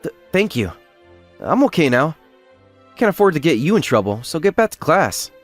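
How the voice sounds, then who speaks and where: A man playing a quiet, earnest, lovesick schoolboy in a manga dub, reserved and halting when flustered. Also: on the high side, sing-song, faint music behind. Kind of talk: dramatic manga dub narration and dialogue.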